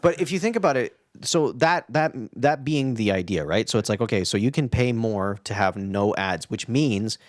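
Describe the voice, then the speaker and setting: A man's voice: on the low side, lively intonation, moderate pace, few pauses, dry recording, studio quality. A man co-hosting a casual podcast discussion, explaining his thoughts reflectively and often checking in with the listener. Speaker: podcast co-host.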